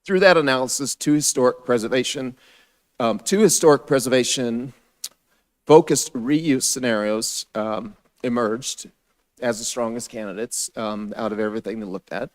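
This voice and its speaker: Male, American, raspy mid-range voice. City staffer giving a formal, halting, explanatory briefing. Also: medium pitch. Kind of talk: formal staff presentation to a council. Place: city council meeting room.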